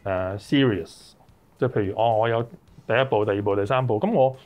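Halting Hong Kong man, slightly muffled. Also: lowish voice. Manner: halting, repeats his words. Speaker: music arranger. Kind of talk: casual interview chat. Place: small indoor room, group interview, through a face mask.

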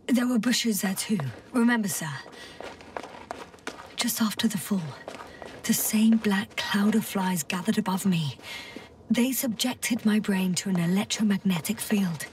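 An actress playing a weary, stubborn scientist in a video game, speaking in a breathy, halting, wry way. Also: female voice, medium pitch, darkish tone, far from the mic, slight background noise, natural voice. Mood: grave, certain. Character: weary, stubborn female space-mission scientist exploring a planet. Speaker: game protagonist, doctor on a space crew. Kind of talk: naturalistic video game voice acting.

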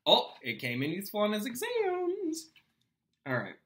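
A man's voice: sits high, moderate pace. A young man reading a storybook aloud like a teacher, expressively, with plain explanatory asides. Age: young adult. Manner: expressive, acts out dialogue. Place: small home room, indoors.

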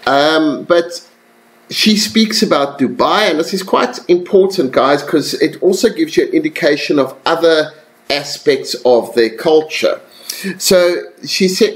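A man giving a recorded slide lecture to students, relaxed and conversational, with frequent ums and self-corrections. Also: fairly high pitch, poor audio.

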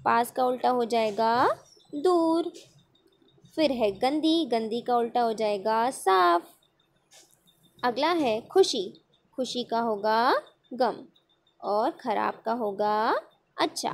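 A woman schoolteacher with a high voice talks at an unhurried pace. She poses a question to her pupils and then answers it herself, step by step, in a patient classroom manner. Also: vocal fry. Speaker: school teacher. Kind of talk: recorded online class over worksheet.

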